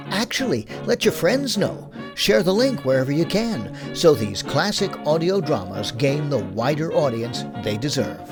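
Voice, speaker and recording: Animated man reading credits over music. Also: on the high side. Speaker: presenter reading end credits. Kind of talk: scripted outro announcement.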